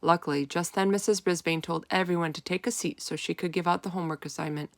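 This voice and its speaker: A woman reads a children's chapter book aloud with expressive emphasis. Her voice sits a little low and has a touch of creak, recorded close and dry. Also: fast talker, no echo. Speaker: storyteller reading aloud. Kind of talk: expressive children's read-aloud narration in first person.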